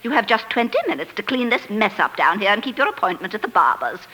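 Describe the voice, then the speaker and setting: An actress playing a domineering, sarcastic middle-aged wife in brisk 1940s radio drama style. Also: female voice, mid-range voice, very animated, bright, forceful tone, low-quality recording. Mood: bossy, impatient. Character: bossy, scornful middle-aged English wife, nagging. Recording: old 1940s radio broadcast.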